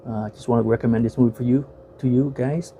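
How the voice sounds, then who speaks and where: A man in his forties, raised in the States, talking casually to the camera in a bedroom, halting with many fillers. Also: mid-range voice, steady tone, phone-quality sound, slight background noise. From Vietnam, raised in the United States. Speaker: vlogger reviewing films. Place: bedroom at home, indoors.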